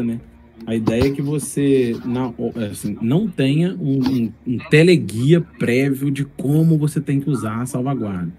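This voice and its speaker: A man's voice: medium pitch, dark tone. A man with a Brazilian accent talking casually on a podcast in a reflective, explanatory way. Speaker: tabletop gamer, podcast speaker. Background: faint music.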